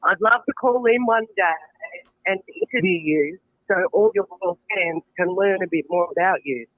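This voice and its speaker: A man's voice: sits high, moderate pace, no room sound. An older man from Australia calling into a show, talking in a hesitant, rambling way with giggles, over a tinny phone line. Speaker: caller.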